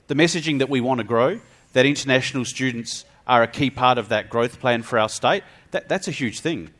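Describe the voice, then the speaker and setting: A man's voice: medium pitch, fairly bright tone. A man on a panel gives an earnest answer, repeating words and restarting.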